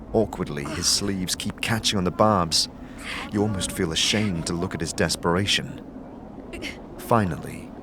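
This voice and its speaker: A man's voice: low voice, background noise. A man narrating a fantasy tale in the second person as dramatic audio storytelling.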